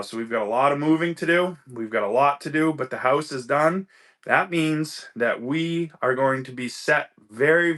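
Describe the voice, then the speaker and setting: A man with a bright, forceful voice hosts a casual livestream. He chats easily and greets viewers by name as they join. He sounds a little stuffy from a lingering cold. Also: mid-range voice. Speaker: livestream host.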